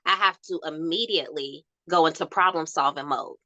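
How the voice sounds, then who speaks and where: A young woman with a soft yet bright, clear-cut voice, a little lower than most women. She tells stories in a candid podcast chat and acts out the lines of the people in them. The sound is close and dry. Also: moderate pace, dry recording, lo-fi sound. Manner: animated storytelling with laughs and acted-out quotes.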